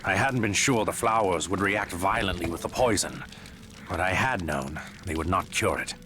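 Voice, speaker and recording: A man's voice: sits low, normal speed, noisy background, close to an everyday voice. An actor playing a sombre, guilt-ridden father narrating a fantasy game tale in a grave, regretful manner. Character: sombre, guilt-ridden father recounting his dark bargain.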